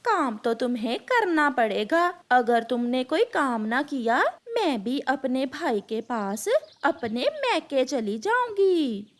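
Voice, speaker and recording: A fed-up crow wife from a cartoon tale, voiced by a woman. She is high and lively in pitch, nagging and pleading at an unhurried, slow pace. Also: heavily acted. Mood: scolding. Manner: scolding, pleading. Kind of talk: Hindi animated moral story dialogue.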